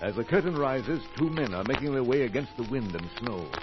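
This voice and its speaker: A man's voice: mid-range voice, expressive, fairly quick, over music. A man announcing a radio mystery with portentous, theatrical scripted narration. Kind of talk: dramatic scripted old-time radio narration. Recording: muffled, lo-fi old radio recording with hiss.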